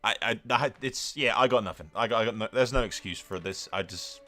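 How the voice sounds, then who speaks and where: A man's voice: on the low side, brisk, pushed and bright, soft background music. A man doing casual let's-play commentary, sweary and blunt, slipping between exclamations and in-character roleplay narration. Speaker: gaming video host.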